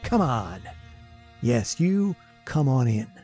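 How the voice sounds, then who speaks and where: A man's voice: mid-range voice, big pitch swings, takes it slowly, mellow, soft background music. An actor playing a rattled man hunting his deadly sweetheart in old-time radio horror, muttering to himself and stammering. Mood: anxious, muttering.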